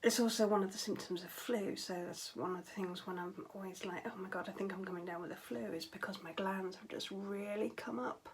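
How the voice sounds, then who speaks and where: Softly tired woman vlogging at home. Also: mid-range voice, fairly even intonation, a little fast, few pauses, crowd noise. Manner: candid, matter-of-fact, with frequent fillers and trailing phrases. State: tired and low on voice power. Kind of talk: casual talk to camera.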